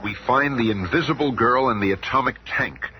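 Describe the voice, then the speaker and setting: A man's voice: mid-range voice, brisk, sharp tone, lightly acted. A man narrating a 1970s superhero radio drama in a dramatic, emphatic scripted style. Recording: noisy old radio recording.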